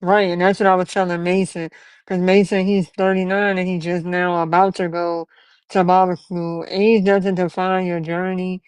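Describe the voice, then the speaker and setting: Smooth-voiced man in his twenties hosting. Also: sits high, little pitch movement, moderate pace, dry recording, low-quality recording. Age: 20s. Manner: earnest, storytelling, encouraging. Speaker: podcast host, barber. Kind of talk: casual interview chat.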